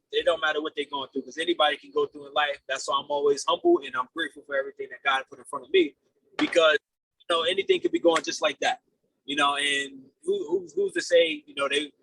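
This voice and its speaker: A man in his late twenties speaking as a podcast guest in an animated, fairly high voice. He sounds bright and forceful, answering casually and earnestly, often doubling back on his words. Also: fast, gravelly, slightly rough, no echo, rough recording. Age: late 20s. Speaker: podcast guest, real estate investor.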